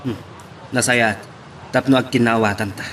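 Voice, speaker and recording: A man's voice: mid-range voice, steady tone, moderate pace, a bit bright, a little hiss or hum, natural voice. A man playing a stern, bossy young man. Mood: warning. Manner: commanding, strict. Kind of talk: Ilocano radio drama acting.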